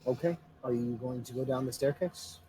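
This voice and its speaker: A man's voice: mid-range voice, moderate pace, noisy background. A man narrating a tabletop game casually as game master.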